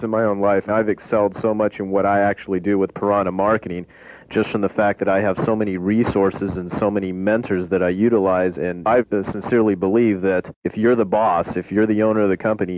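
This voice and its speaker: A man hosting a taped business interview, earnest and quick to agree, pointing to his own resources. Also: lowish voice, a little mellow, dry recording. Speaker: interview host. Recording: dull dry lo-fi tape.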